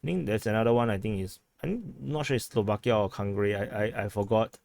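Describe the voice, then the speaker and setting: A man hosting a vlog, giving casual commentary in a halting, rambling way full of restarts and fillers, his voice hoarse as he is losing it. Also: lowish voice, a little mellow, no echo. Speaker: vlog host giving news updates.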